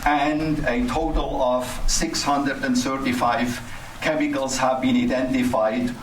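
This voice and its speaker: An older man giving a formal, scholarly prepared address at a public meeting, speaking haltingly. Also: mid-range voice, level tone. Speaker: resident speaking at public comment, cancer researcher. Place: community center meeting hall. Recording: roomy, distant mic, faint noise.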